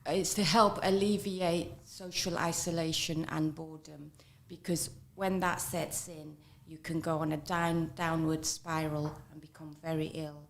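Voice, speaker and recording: A woman hosting a morning radio talk show. She speaks in a measured way, affirms her guest, and repeats the guest's points back. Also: low voice, level tone, gravelly. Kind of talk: radio interview.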